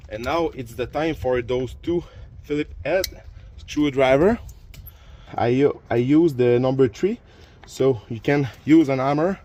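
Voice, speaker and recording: A man's voice: medium pitch, a little mellow, slight background noise. Man with a French first language. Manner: plain explanations, frequent fillers and restarts. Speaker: DIY car repair youtuber. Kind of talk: casual how-to narration while working. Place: garage or driveway by a car.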